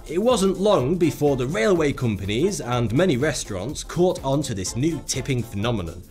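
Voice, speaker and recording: A man's voice: medium pitch, big pitch swings, normal speed, darkish tone, soft background music. A man giving scripted narration for an explainer video, with a sarcastic, wry delivery.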